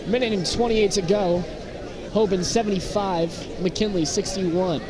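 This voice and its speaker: Man, American accent, high-pitched, live basketball play-by-play, clipped phrasing, very noisy gym. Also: unhurried. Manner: clipped short phrases, excitable on big plays. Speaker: play-by-play commentator. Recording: very loud gym crowd noise behind. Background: loud crowd and bouncing basketballs.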